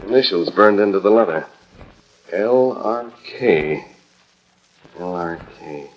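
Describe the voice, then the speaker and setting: A man's voice: deep voice, animated, takes it slowly, dull audio, exaggerated voice. A man acting a resolute, kindly masked western hero in a 1940s radio drama. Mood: urgent, serious. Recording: old 1940s radio transcription disc.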